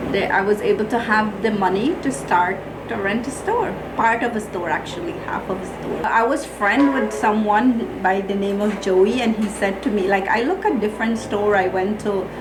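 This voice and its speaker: A woman's voice: mid-range voice, moderate pace, noisy background. A middle-aged woman with a Guyanese accent tells her own story in plain-spoken, colloquial interview answers. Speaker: small business owner being interviewed. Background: music.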